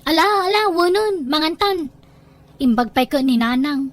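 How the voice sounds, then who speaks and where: Woman acting young Ilocano Filipino woman, high voice, whiny, teasing, envious, audio drama. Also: animated, normal speed. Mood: whiny, sulky.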